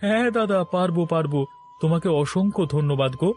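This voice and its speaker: A man's voice: high voice, brisk, darkish tone, faint music behind, close to an everyday voice. A man voicing a humble married neighbour in a moral-story cartoon, with a deferential, pleading manner. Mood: grateful.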